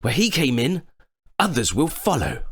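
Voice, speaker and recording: Man doing a jumpy unicorn in a kids tale, high voice, wary, warning everyone. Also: sing-song, a little slow, slightly rough. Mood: alarmed warning. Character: nervous, foreboding male unicorn.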